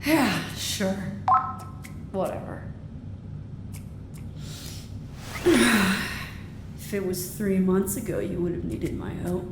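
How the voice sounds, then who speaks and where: A woman playing a restless, sidelined team member in an audio drama, sullen and sarcastic, heard distant and echoey over a noisy rumble. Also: lively intonation, slow. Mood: sulky.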